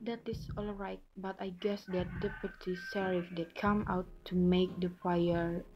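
A woman's voice: fairly low pitch, little pitch movement, a little slow, dull audio. A young woman playing a loyal, defensive farmer's wife in an amateur student drama. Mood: resentful. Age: young adult.

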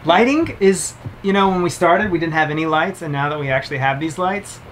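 A man's voice: on the high side, brisk, a bit bright, slight background noise, low-quality recording. A man in his thirties casually reviewing camera gear to the camera, chatty and upbeat, explaining as he handles each item in a boat cabin. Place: cabin of a sailboat, indoors.

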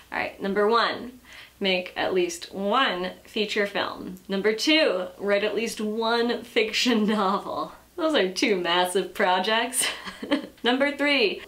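A woman's voice: mid-range voice, a bit bright. Woman around thirty, casual unscripted vlog delivery, wry and self-deprecating, frequent laughs. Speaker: vlogger and creative entrepreneur. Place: sunlit room in front of glass doors, indoors.

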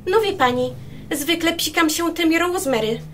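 A woman's voice: extremely high pitch, normal speed, a bit bright, slight background noise. A woman playing a chatty, deferential, gossipy maid in a radio play, speaking effusively. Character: chatty, gossipy unmarried hotel-style maid, eager to please. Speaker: amateur radio drama actor.

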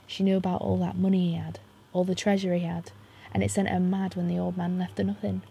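Husky, weary young carer. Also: female voice, fairly low pitch, level tone, fast talker, mellow, full character voice. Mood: sad, resigned. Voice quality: husky, creaky.

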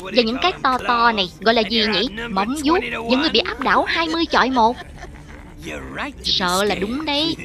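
A gloating cartoon hyena boss, read by a woman in a high voice. The delivery is taunting and hungry for a meal, with music clearly behind it. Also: expressive, fairly quick, lightly acted. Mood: mocking menace. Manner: taunting, menacing. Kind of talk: cartoon voice-over dubbing. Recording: clear film music and original soundtrack underneath.

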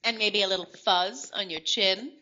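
A woman's voice: mid-range voice, moderate pace, pushed and bright, lo-fi sound, slight background noise, close to an everyday voice. An actress playing a confident, playful young businesswoman who teases and laughs. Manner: bubbly, teasing, laughs often.

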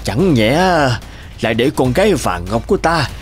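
An actor voicing a stern, scheming middle-aged lord in an animated folk tale, haughty and menacing. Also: male voice, mid-range voice, moderate pace, faint music behind, close to an everyday voice. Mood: baffled, resentful.

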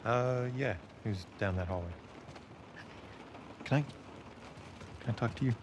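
An actor playing an earnest, wry young male survivor in a naturalistic game cutscene, with casual, halting talk. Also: on the low side, a little hiss or hum, lightly acted.

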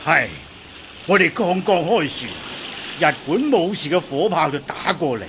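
Gravelly, high-pitched bold smuggler chief. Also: male voice, animated, a little slow, background noise. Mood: hearty, bold. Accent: Hong Kong Cantonese. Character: bold smuggler chief, hearty elder sworn brother. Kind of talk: radio drama acting.

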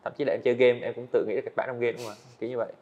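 A man's voice: medium pitch, little pitch movement, very fast, a little mellow, muffled recording. A young man, a guest animator, earnestly explaining his work step by step in a casual interview on a studio set.

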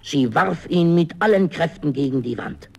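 A man's voice: a little high, animated, moderate pace, gravelly. A man narrating a fairy tale in a dramatic, scripted storytelling style.

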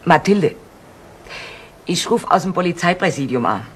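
A woman's voice: lowish voice, lively intonation, moderate pace, close to an everyday voice. A woman playing a brusque, foul-mouthed prostitute under suspicion in a 1970s German crime radio play, blunt and slangy. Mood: angry, frantic. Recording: noisy vintage radio drama recording.

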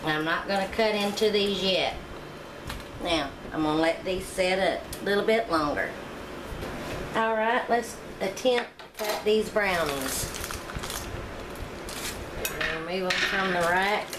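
A woman's voice: medium pitch, takes it slowly, pauses often. Woman, home cooking vlogger, chatty and homey, roomy kitchen sound, noisy background. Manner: chatty, homey, talks to viewers while working. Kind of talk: casual cooking demonstration. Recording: echoey kitchen with background noise.

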